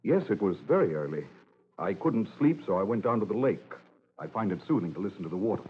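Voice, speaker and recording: Male, husky, lilting voice, gentle but guarded loner in vintage radio mystery. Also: lowish voice, very animated, quick pace. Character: mild, evasive, soft-mannered solitary male hiker. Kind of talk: old-time radio drama acting. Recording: dull old radio recording.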